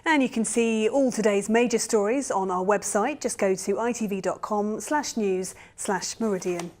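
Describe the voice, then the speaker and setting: British female news presenter, mid-pitched, scripted bulletin read. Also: normal speed, a little mellow.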